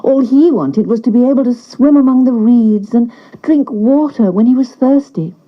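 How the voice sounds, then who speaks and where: A woman narrating a scripted children's story in an expressive storyteller's style. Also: a little high, moderate pace, muffled recording.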